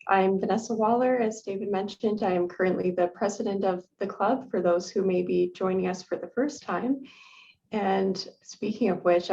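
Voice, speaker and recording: A woman's voice: mid-range voice, a little mellow. A woman chairing a club meeting as its president, in a friendly, welcoming way. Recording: dull lo-fi sound.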